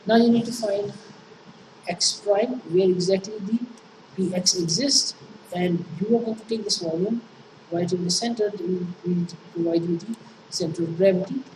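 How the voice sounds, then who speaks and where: Echoey, distant Pakistani woman lecturing. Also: mid-range voice. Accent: Pakistani English. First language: Urdu. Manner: halting, many fillers and restarts. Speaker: engineering lecturer. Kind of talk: classroom lecture explaining worked problems. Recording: echoey room, distant mic, noisy.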